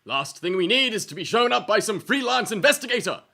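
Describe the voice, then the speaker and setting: An actor playing a tense, demanding superior scolding underlings in an audio drama. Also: male voice, sits high, expressive, brisk, creaky, sharp tone, full character voice. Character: anxious, demanding guard superior.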